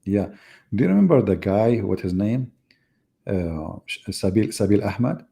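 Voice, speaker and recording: Low, gravelly, sarcastic man. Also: very animated, a little slow, warm tone. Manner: sarcastic, teasing, rhetorical questions. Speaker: host of live religious debate. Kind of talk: mocking casual live commentary.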